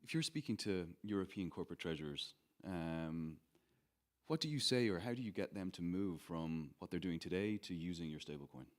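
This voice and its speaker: Low-voiced male moderator. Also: lively intonation, normal speed. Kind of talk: conference panel moderating.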